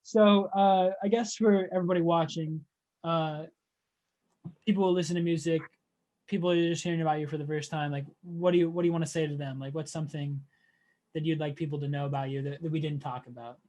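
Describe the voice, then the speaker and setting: A man's voice: on the high side, fairly even intonation, fairly quick, a little mellow. A young man hosting a casual interview, speaking informally with plenty of slang and appreciation for his guest.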